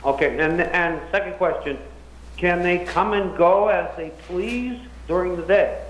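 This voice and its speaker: A man, a council member, haltingly asking questions at a public meeting. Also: high voice. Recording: dull, echoey meeting room sound.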